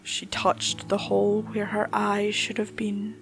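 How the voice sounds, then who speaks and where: A woman reading a horror story as scripted dramatic narration in a measured, solemn manner. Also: medium pitch, monotone, background noise.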